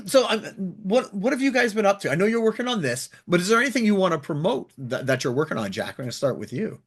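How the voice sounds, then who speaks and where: A man with a husky voice hosting a casual radio interview. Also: sits high, sing-song, quick pace.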